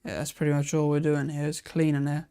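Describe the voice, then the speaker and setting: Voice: medium pitch, monotone, fast talker, warm tone, clear, resonant tone. A live-stream host chatting casually while reacting to old aquarium videos, thinking aloud with many restarts and answering viewer comments.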